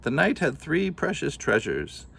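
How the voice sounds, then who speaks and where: A man voicing a wise, kindly old woman who speaks gently and solemnly. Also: a little high, wildly swooping pitch, measured pace, creaky, slight background noise, pitched above the performer's own voice, full character voice. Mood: earnest.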